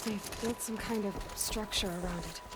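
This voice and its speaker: An actress playing a young warrior heroine in a video game, determined and sarcastic, often muttering to herself. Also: female voice, medium pitch, moderate pace, heavy background noise, close to an everyday voice. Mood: wry. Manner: sarcastic, self-talking.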